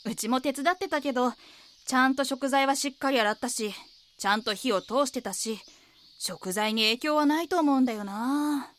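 An actress playing a frank, slightly defensive diner owner in an audio drama, speaking casually. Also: female voice, high voice, normal speed, lightly acted. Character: plain-spoken woman running a local diner, defensive, frank.

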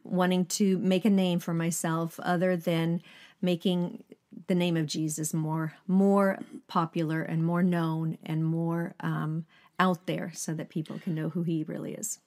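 An older woman from Sweden chats earnestly beside her husband, her voice sitting on the low side for a woman. She talks in a relaxed, unscripted way, often pausing on fillers and laughing easily. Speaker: ministry co-host, pastor's wife. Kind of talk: casual conversational chat.

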